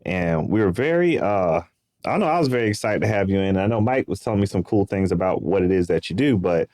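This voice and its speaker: A man hosts a podcast and keeps the talk easy and upbeat. His voice sits fairly low. The sound is dry and close. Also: animated, brisk, darkish tone, no room sound. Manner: upbeat, chatty, loose Southern phrasing. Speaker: podcast host. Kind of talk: casual interview chat.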